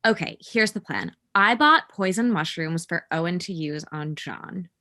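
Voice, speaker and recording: A woman's voice: on the low side, expressive, measured pace, sharp tone. A woman playing a brash, spiteful young schemer in a comedy table read, blunt and sardonic. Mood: scheming.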